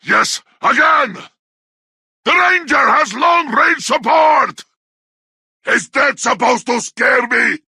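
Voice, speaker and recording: Savage orc fighter for a game, super high strained yelling, harsh n sharp, snarly battle barks. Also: male voice, expressive, slow pace, pauses often, dry recording, clean studio sound, heavily acted. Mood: alarmed, urgent. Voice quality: harsh, raspy growl. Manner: snarling battle barks in crude, broken grammar. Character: brutish, bloodthirsty orc warrior of Mordor, aggressive and taunting. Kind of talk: video game combat barks.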